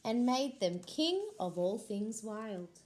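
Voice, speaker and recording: A woman, a school librarian, reading a picture book aloud to children in a slow, expressive voice. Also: a little high.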